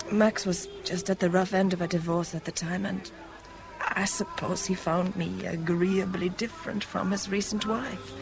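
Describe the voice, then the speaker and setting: Tense, evasive young mistress. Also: female voice, fairly low pitch. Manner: tense, defensive, articulate and wistful. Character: jittery, evasive European young woman, rich financier's mistress. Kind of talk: 1970s British radio drama acting.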